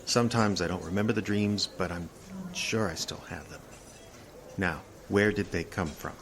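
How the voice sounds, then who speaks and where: Actor playing haunted regular guy, male, low voice, audio drama, exasperated, guarded, defensive, noisy ambient backdrop. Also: normal speed, a bit bright. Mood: wary, guarded. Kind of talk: naturalistic audio drama acting.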